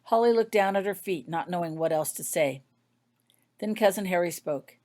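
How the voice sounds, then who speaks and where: Woman with a lowish voice reading out her story, audiobook type narration. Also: moderate pace, warm tone. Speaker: author reading own fan fiction aloud.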